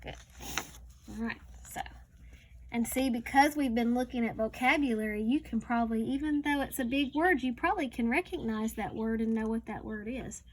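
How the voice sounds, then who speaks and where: A woman teacher reading a picture book aloud to young students, slowly and deliberately, stretching words and adding teaching asides. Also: fairly high pitch, a little hiss or hum, rough recording.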